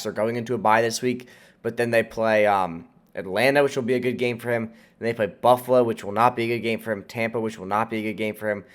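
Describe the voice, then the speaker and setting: An American man with a nasal, congested voice, sick as he hosts, giving casual, emphatic fantasy football advice to viewers. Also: medium pitch. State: sick with a cold. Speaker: host of a fantasy football channel.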